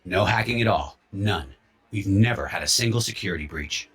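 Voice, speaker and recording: An actor playing a guarded, evasive company employee in a video game. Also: male voice, low voice, lively intonation, moderate pace, fairly bright tone, breathy edge, exaggerated voice. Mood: defensive. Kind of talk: video game dialogue acting.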